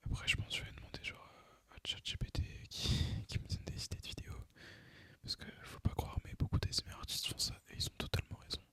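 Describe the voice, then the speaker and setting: Intensely whispering young Frenchman. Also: quick pace. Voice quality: whispered, unvoiced. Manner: relaxed, slangy, hesitant. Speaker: ASMR gaming creator. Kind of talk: ASMR, casual rambling chat over gameplay.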